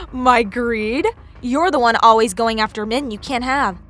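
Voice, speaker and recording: An actress playing a spiteful, gloating young villainess, mocking and theatrical, in an audio drama. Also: female voice, a little high, unhurried, a bit bright, faint music behind. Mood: taunting.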